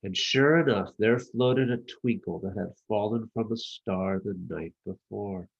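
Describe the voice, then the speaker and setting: A man narrates a children's story at a slow pace. His delivery is measured and expressive, like an author reading his own picture book. The sound is a little dull and lo-fi. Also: on the low side, creaky, husky.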